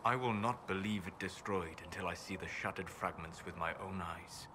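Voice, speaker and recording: Reserved, scholarly elven mage, played by a man for a fantasy game: low, formal, thoughtful delivery. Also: fairly even intonation, natural voice. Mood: grave, measured. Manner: measured, formal, thoughtful phrasing. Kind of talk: video game dialogue acting. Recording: clear ambient noise behind game dialogue.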